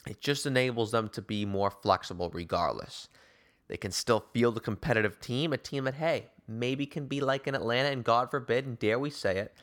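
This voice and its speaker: A man's voice: medium pitch, a bit bright. An American man hosting a sports podcast, talking in wordy, rhetorical, ornate phrases as he gives commentary and reads promos.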